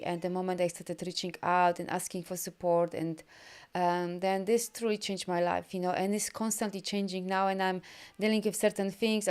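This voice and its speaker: A woman from Poland talks in English, her second language, on a live video as a healing coach. Her voice is smooth and clear at a middle pitch, and she keeps things encouraging while rambling through lots of ums and restarts. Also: a little mellow. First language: Polish. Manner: loose rambling with many fillers and restarts; upbeat and encouraging. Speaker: energy healer and coach. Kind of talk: live video session reading viewer comments.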